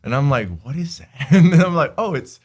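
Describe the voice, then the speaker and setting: A man's voice: on the high side, expressive, a little fast, a little mellow, husky. Chatty young man from Honduras. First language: Spanish. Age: 20s. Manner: chatty, laughs often, many fillers. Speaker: guest. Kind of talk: casual podcast chat.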